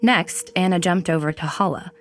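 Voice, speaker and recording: A woman narrating a scripted dark story. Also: lowish voice, normal speed.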